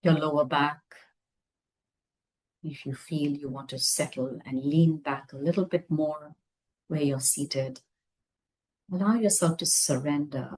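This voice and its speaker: Low, husky woman guiding meditation. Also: rough recording. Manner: gentle, unhurried phrases with long pauses. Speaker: meditation guide. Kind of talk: guided meditation, slow soothing instruction.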